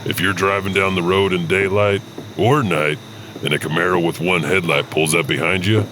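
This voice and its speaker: A man's voice: deep voice, fairly even intonation, normal speed, background noise, close to an everyday voice. An actor playing a knowing local man who recounts a legend in a wry, folksy way for an audio horror anthology. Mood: wry, ominous.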